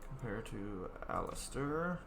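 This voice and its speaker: Man, tired game streamer; casual muttered commentary, animated pitch, gravelly, echoey room. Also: slow pace, music in the background. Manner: mumbling, talking to himself while playing. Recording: roomy with clear background noise.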